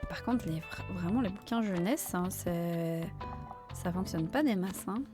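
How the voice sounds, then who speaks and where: A woman's voice: on the low side, measured pace. A woman streamer giving casual gameplay commentary, chatty and thinking aloud as she plays. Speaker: gaming streamer. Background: faint game music.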